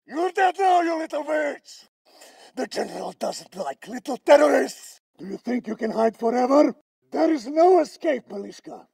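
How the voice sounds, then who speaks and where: An actor playing a brutal, threatening Russian soldier in a shooter game, with a Russian accent. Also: male voice, very high voice, gravelly, mellow, slightly rough, dry recording, exaggerated voice. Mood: furious, then menacing coaxing. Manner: threatening, taunting. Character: brutal, menacing Russian soldier hunting a child. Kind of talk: combat voice lines for a shooter game.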